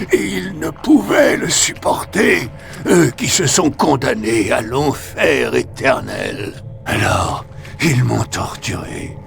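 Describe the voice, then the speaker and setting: Gravelly, suffering captive monk. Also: male voice, mid-range voice, a bit bright, heavily acted. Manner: halting, solemn, suffering delivery. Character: tortured, blinded, devout captive monk, weary yet steadfast. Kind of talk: dramatic video game voice acting.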